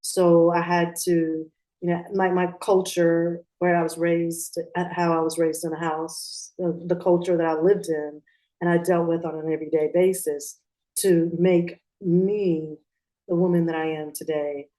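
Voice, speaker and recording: A woman's voice: lowish voice, flat delivery, measured pace, dark tone, rough recording. A woman from Pakistan who grew up in Atlanta, speaking as a guest in a conversational interview, reflective and often restarting her sentences. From Pakistan, raised in Atlanta, Georgia. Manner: reflective, with frequent restarts and fillers.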